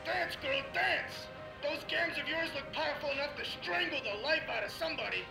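An actress playing a leering, rowdy nightclub spectator with whooping, drawn-out exclamations in a radio drama. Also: female voice, high voice, creaky. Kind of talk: broad radio drama acting. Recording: echoey, music behind the voice.

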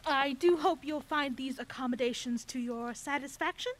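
A woman's voice: high-pitched, moderate pace, no echo, natural voice. An actress playing an eccentric, nosy woman who keeps a creepy motel, with a theatrical, flowery, singsong delivery in an audio drama. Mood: suggestive, chirpy.

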